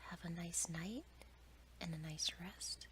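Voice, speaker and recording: A woman reading a bedtime story in a soft, breathy ASMR whisper, gentle and hushed. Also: on the low side, slow, background noise.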